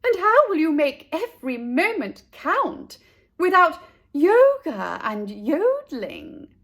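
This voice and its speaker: A woman's voice: high voice, big pitch swings, slow, a bit bright, pitched above the performer's own voice, heavily acted. A woman voicing a fretful, frazzled storybook mother who calls out anxiously. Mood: insistent. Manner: calling out anxiously. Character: fretful, frazzled mother searching for her daughter.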